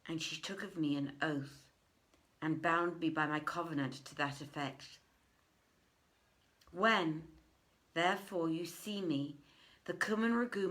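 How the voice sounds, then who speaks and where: A middle-aged woman reads old tales aloud with theatrical weight. Her voice sits slightly low, and she takes her time, moving very slowly and setting phrases apart with deliberate, emphatic pauses. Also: a bit bright. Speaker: storyteller reading aloud. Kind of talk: theatrical live reading of old tales in archaic English. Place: indoors, living room by a fireplace.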